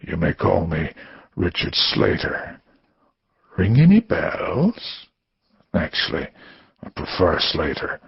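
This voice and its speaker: A man voicing a menacing male caller who speaks low and breathy, drawing out each syllable in a condescending way. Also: wildly swooping pitch, no room sound, muffled recording, full character voice. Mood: sinister. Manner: condescending, slow and whispery.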